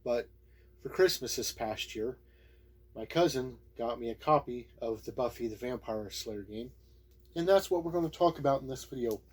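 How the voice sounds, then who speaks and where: A man giving a casual, unscripted game review in a halting, plain-spoken way, as a reviewer talking to viewers. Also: mid-range voice, slightly rough. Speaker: YouTube tabletop game reviewer.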